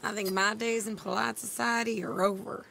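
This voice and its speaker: Plainspoken outlaw widow, a little gravelly, wistful. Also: female voice, mid-range voice, fairly even intonation, moderate pace, a bit bright, a little hiss or hum. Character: tough young widow, eager outlaw woman.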